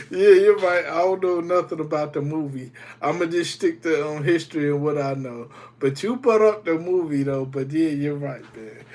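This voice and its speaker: A man's voice: a little high, measured pace. Man chatting live while over a cold. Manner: loose, rambling, greets chat by name. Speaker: livestreamer. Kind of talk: casual livestream chat with viewers.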